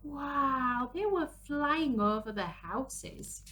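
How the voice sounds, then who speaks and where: Animated Englishwoman reading slowly, very melodic. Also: high-pitched. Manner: animated, dramatic gasps and drawn-out exclamations. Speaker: children's storyteller, early years presenter. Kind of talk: expressive picture-book read-aloud for young children. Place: small room at home, indoors.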